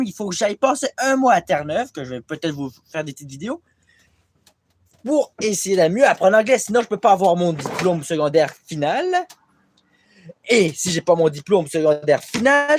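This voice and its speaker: A man's voice: high voice, very animated, fairly quick, slight background noise. A young man from Quebec talking straight to his tablet camera in a rambling, self-deprecating vlog, swearing often as he goes. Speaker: vlogger. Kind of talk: casual rambling vlog monologue. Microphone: tablet camera mic.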